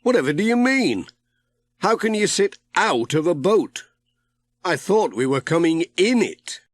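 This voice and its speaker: A man's voice: high voice, sing-song, unhurried, no echo, clean studio sound, pitched above the performer's own voice. A man voicing a mild, good-natured, worried postman with a plaintive manner in a children's audiobook. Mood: anxious, puzzled. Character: Pat, mild, worried postman, wary of water.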